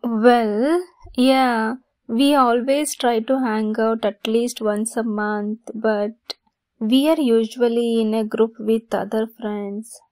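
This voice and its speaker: Actress for a young female best friend in an ASMR romance: lightly high voice, very slow, softly teasing and affectionate. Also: fairly even intonation, no echo. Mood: shy, affectionate. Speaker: audio roleplay voice actress. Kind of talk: ASMR roleplay acting.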